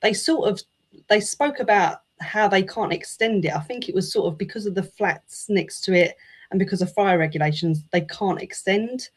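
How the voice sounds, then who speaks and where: A woman guest on a podcast who talks about football in a relaxed, casual way, filling her answers with um and sort of. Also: medium pitch, normal speed, slightly rough. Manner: relaxed, with many fillers. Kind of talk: casual interview chat.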